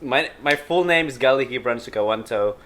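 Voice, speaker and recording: A man's voice: medium pitch, expressive, brisk, fairly bright tone. A young man from Indonesia, an athlete guest who talks in an upbeat way, laughs often and speaks slightly broken English. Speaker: guest, athlete and personal trainer. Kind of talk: casual interview chat.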